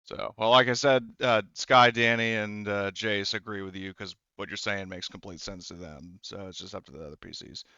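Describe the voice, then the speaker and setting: A man's voice: fairly low pitch, fairly even intonation, moderate pace, few pauses, sharp tone, smooth, no echo, highs cut off. A man speaking conversationally as a game master, explaining things to the players in a tabletop roleplaying game.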